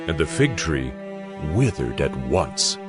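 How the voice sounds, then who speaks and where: A man narrating dramatized scripture in an expressive storytelling manner. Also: rumbling low, slow pace, music in the background.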